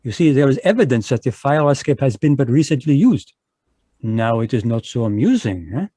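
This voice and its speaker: An actor playing a fussy, precise Belgian detective in a 1940s-style radio play, with a put-on French accent, courtly and lecturing, dropping French words. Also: male voice, mid-range voice, very animated, fairly quick, warm tone, breathy edge, no room sound. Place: home, live video call.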